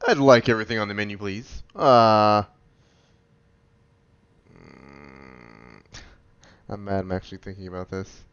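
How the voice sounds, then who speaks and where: A woman's voice: very deep voice, measured pace, long pauses, clear, resonant tone. A woman giving casual game playthrough commentary, with muttered asides and playful reactions. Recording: dull lo-fi mic, faint noise.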